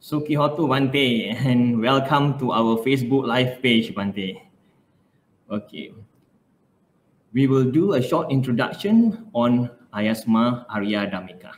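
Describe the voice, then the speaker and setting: A middle-aged man hosting a live stream, reading a formal introduction of the guest speaker, careful and halting. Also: mid-range voice, lively intonation. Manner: slow, careful, with pauses and small slips. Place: room indoors. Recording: echoey room with faint noise.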